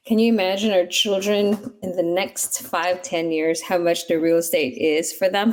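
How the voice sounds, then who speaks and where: Woman, middle-aged, from the Philippines, lowish voice, earnest, explains with fillers. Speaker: real estate agent guest. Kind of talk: interview answers.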